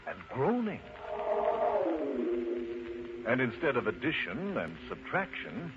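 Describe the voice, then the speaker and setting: A man tells a children's story in a mid-pitched voice with hugely varied intonation. His narration is theatrical and emphatic, and the recording is dull and clearly noisy.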